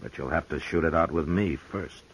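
An actor playing a calm, commanding frontier marshal, terse and firm, in a 1950s radio western. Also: male voice, very deep voice, moderate pace, breathy edge, full character voice. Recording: noisy, clicking 1950s radio recording.

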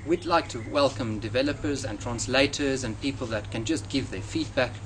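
South African man, earnest and measured. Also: medium pitch, a bit bright, rough recording. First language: Afrikaans. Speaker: software developer. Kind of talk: interview answers to off-screen questions. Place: outdoors in front of a leafy hedge. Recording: outdoor noise with passing traffic.

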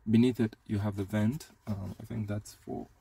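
A man's voice: on the low side, steady tone, moderate pace, creaky, dark tone, husky, no echo. A man giving a casual hands-on product demo as a tech reviewer, speaking inside a car.

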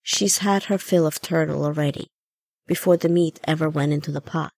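A woman's voice: sits low, moderate pace, a little mellow, dry recording. A woman reading a short story as a scripted narration.

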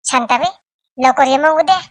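Woman playing chatty cartoon village neighbour, high pitch, persuasive, colloquial, dry sound. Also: a little fast, no room sound, lightly acted. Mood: brisk, eager. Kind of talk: Marathi family comedy cartoon dialogue.

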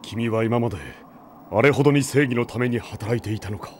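An actor playing a stoic, noble giant robot warrior from space in an animated game cutscene, speaking gravely and deliberately. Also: male voice, mid-range voice, very noisy, close to an everyday voice. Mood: solemn, concerned.

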